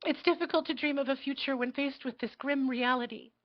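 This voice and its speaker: A woman playing an earnest, fearful, idealistic young girl in a live table read of a satirical play, with a halting, pleading delivery. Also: high voice, lightly acted. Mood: somber. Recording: dull lo-fi audio.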